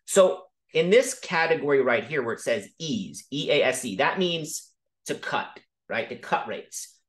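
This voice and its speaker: A man casually teaching about markets over a video call, talking quickly and emphatically and often checking in with "okay?". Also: medium pitch, animated. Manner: fast, emphatic, frequent "okay?" check-ins. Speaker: crypto educator hosting a Zoom call. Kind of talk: casual screen-share teaching.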